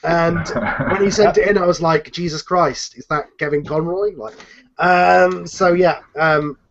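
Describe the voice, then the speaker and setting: A man's voice: fairly high pitch, steady tone, measured pace, a little hiss or hum. A man casually hosting an online group chat, rambling along and correcting himself as he goes. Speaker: host of an online cast chat. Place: online group video call. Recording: dull, lo-fi online call audio.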